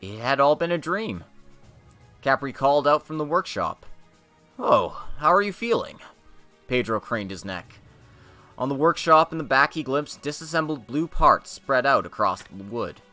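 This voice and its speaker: A man's voice: mid-range voice, animated, moderate pace, sharp tone, faint music behind. A man narrating a fantasy tale as a dramatic reading.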